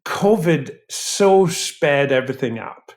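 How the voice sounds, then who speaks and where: A man speaking as an expert interview guest, explaining in emphatic, articulate, measured phrases. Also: fairly high pitch, animated. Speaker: physician researcher, interview guest.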